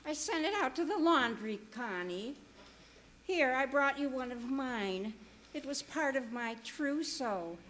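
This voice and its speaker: A woman playing a fond, rambling, scatterbrained landlady in a 1940s radio comedy, unhurried and gently dotty. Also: high-pitched, expressive, slight background noise. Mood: fond, wistful.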